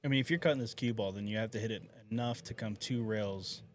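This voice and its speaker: A man's voice: lowish voice, quick pace. A man co-commentating a live pool match, chatty and opinionated, often restarting his sentences.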